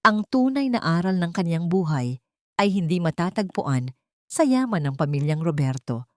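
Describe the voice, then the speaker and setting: A woman with a Filipino accent reading a scripted dramatic story in a measured, solemn, sentimental way. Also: lowish voice, very animated, darkish tone, dry recording, studio quality. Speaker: audio story narrator.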